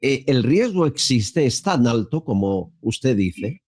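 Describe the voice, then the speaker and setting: A man hosting a podcast interview, asking questions slowly with many hesitations. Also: mid-range voice, sing-song, a little mellow. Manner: slow, hesitant with many fillers. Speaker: podcast host.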